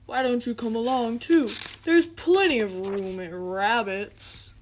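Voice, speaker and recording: A woman's voice: on the high side, slow pace, slight background noise. A woman voicing a good-natured young male bear from a children's storybook, speaking in a simple, earnest way. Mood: friendly.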